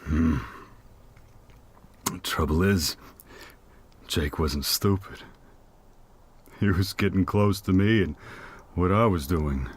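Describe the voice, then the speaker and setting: A man playing a guilt-ridden corrupt policeman in an audio drama, speaking haltingly and stammering as he confesses. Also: low voice, a little hiss or hum. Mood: heavy, guilty confession. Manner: halting, stammering, repeating himself. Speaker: voice actor in a fan-made audio drama.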